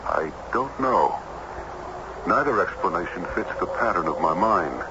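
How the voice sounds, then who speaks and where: An actor playing a formal, earnest nineteenth-century judge in a radio play, with precise, educated phrasing. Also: male voice, deep voice, animated, a little slow. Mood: thoughtful, measured. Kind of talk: radio drama acting. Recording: muffled, very noisy old radio recording.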